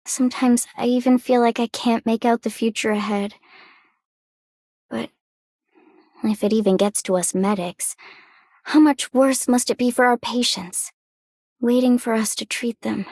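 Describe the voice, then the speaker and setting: An actress playing a weary but compassionate and hopeful medic in a game, speaking reflectively. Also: female voice, on the high side, fairly even intonation, a little slow, long pauses, a little mellow, no echo, studio quality. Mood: worried, hopeful. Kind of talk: scripted game character monologue.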